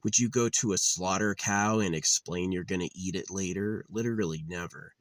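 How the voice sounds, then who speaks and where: A man's voice: sits low, moderate pace, no echo. A man in his late thirties musing in a rambling, weary monologue, with lots of fillers and restarts. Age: 30s. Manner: weary, resigned. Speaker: channel host.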